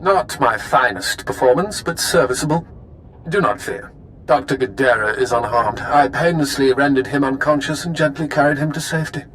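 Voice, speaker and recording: A man's voice: mid-range voice, moderate pace, a little hiss or hum, close to an everyday voice, low-quality recording. A man playing a suave, scheming enemy agent. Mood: calm. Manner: calm, smooth, formal.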